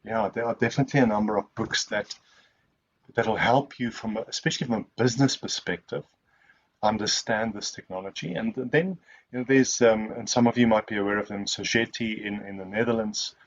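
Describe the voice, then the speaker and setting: Afrikaans-speaking man, low muffled voice. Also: fairly quick. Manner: rambling, many restarts and fillers. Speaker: guest speaker. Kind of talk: webinar Q&A answer. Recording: muffled lo-fi call audio.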